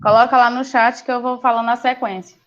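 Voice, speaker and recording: A woman teaching a science class online, giving firm, brisk directions with affectionate nicknames over a video call. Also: a little high, steady tone, a bit bright. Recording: dull lo-fi call audio.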